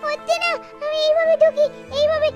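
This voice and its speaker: A woman's voice: very high voice, monotone, fairly quick, a little mellow, faint music behind. A woman voicing the bewildered, innocent ghost of a little girl in a doll-story cartoon, pleading childishly and repeating her calls. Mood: confused, frightened.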